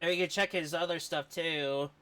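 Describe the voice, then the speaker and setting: A man casually commentating on a video game as he streams, reacting aloud and excitably over game music. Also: fairly high pitch, normal speed. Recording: music behind the voice.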